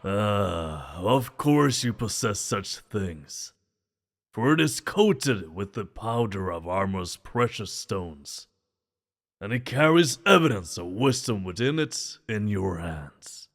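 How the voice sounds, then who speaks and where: A man playing a stately, grateful king in a fantasy audio drama, speaking formally and ceremoniously with a gravelly voice. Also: fairly low pitch, expressive, slow, full character voice. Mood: regal, insistent. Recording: long hall reverb.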